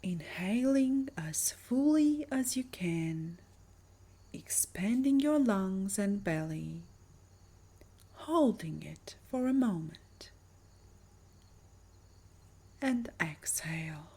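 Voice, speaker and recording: Slow, husky woman guiding meditation. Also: mid-range voice, expressive, warm tone. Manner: soothing, measured, breathy delivery with lingering pauses. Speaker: meditation guide. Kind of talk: guided meditation narration.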